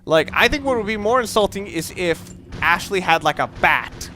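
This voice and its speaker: A man with a fairly high, forceful, bright voice talks through a video game in a casual let's play style. He digresses and halts mid-thought, opinionated and loosely sweary. There is some noise behind his voice. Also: lively intonation, normal speed. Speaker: let's play gamer commentator. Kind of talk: casual gameplay commentary, opinionated rambling.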